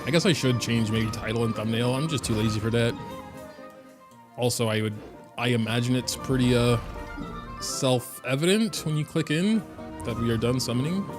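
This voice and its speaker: A man's voice: medium pitch, normal speed, over music. A man from Germany with a German accent, a native German speaker, rambling and thinking aloud in casual live-stream commentary while he plays. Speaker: game streamer.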